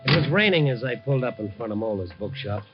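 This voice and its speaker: An actor with an American accent playing a wry, streetwise reporter, narrating in punchy 1950s radio drama style. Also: male voice, mid-range voice, lively intonation, fast talker, over loud music, natural voice. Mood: tense narration. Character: brisk, wry, streetwise male newspaper reporter. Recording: muffled vintage 1950 radio recording.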